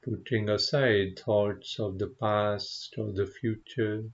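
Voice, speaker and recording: A man leading a guided meditation as a teacher, speaking gently and contemplatively, with unhurried pauses between short phrases. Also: on the low side, steady tone, dull audio. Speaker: meditation teacher, Buddhist monk.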